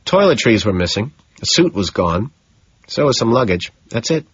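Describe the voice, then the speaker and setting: A man's voice: fairly low pitch, very animated, normal speed, husky, dry recording, lo-fi sound. A man voicing an earnest, slightly sheepish detective in an audiobook. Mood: matter-of-fact. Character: earnest, rumpled, sheepish male detective questioning a suspect. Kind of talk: audiobook character dialogue.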